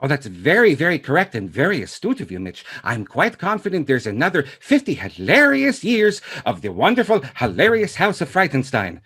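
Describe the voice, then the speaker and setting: A man's voice: fairly high pitch, huge pitch swings, a little fast, few pauses, a bit bright, slightly rough, voice pushed up, exaggerated voice. A man voicing a cheery, flowery fortune-telling oracle, effusive and theatrical, repeating words. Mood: pleased, grand.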